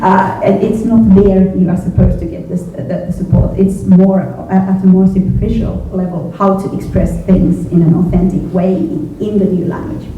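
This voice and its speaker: A woman's voice: medium pitch, steady tone. Middle-aged woman, Finnish accent, native Finnish speaker, hesitant panel answers; thin phone-like sound with faint noise. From Finland. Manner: hesitant, halting, often repeating words. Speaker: panelist, journalism educator. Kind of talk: panel discussion, thoughtful spontaneous answers. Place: echoey indoor event room with a panel. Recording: echoey room from far off, phone-like, faint noise.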